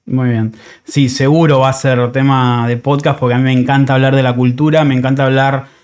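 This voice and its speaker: A man's voice: medium pitch, level tone, brisk, a little mellow. A man in his thirties with an Argentine accent, hosting a podcast in a casual, reflective way and often rephrasing as he goes. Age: 30s. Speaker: podcast host. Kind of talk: casual conversational podcast talk.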